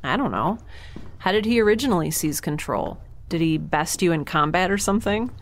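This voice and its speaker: A woman's voice: lowish voice, expressive, a little fast, a little hiss or hum. A woman playing a blunt, naive young scientist with a sarcastic, matter-of-fact manner in a naturalistic audio drama. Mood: curious, blunt.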